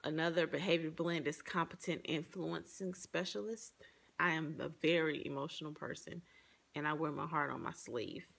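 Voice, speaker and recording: A woman gives a personal, reflective presentation, partly read from notes. Her voice has a noticeable fry and gravel to it. She explains herself in an earnest way. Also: deep voice, normal speed, a bit bright.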